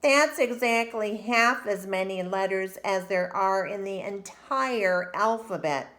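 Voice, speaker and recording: A woman's voice: mid-range voice, takes it slowly, fairly bright tone. A woman voicing a haughty, know-it-all schoolgirl in a children's storybook read-aloud. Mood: smug.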